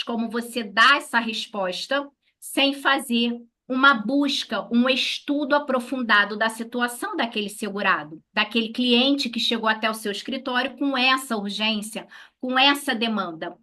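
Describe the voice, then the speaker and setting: A woman's voice: a little high, normal speed, bright, forceful tone. A Brazilian woman giving an instructional talk as a lawyer to colleagues, didactic, posing questions and answering them herself. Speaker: lawyer teaching a class.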